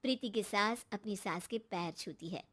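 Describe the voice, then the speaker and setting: A woman's voice: medium pitch, moderate pace, fairly bright tone. A woman narrating a scripted cartoon family story as the storyteller.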